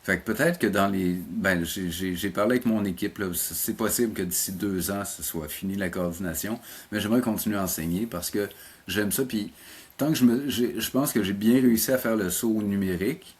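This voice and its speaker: Male interview guest, Québécois accent, low husky voice, informal teacher explaining things in a conversational, halting way. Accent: Québécois French. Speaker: interview guest, animation teacher and program coordinator.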